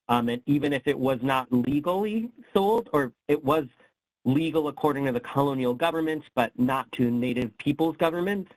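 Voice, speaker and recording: A man's voice: mid-range voice, dry recording, low-quality recording. A man giving an online history lecture, explaining with frequent ums and self-corrections as he loses his voice. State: losing his voice. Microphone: computer mic on a video call.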